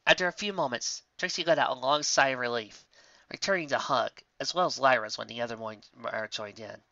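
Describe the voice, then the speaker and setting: A man's voice: mid-range voice, brisk, vocal fry, pushed and bright, no room sound, dull audio. A man reading a fantasy story aloud as a dramatised narration. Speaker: fan fiction reader.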